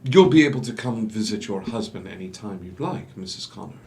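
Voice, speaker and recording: An actor playing a charming, calculating gentleman doctor and proprietor in a musical table read, speaking in a courtly, deliberate manner. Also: lowish voice, animated. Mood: smooth, persuasive. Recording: noisy home recording.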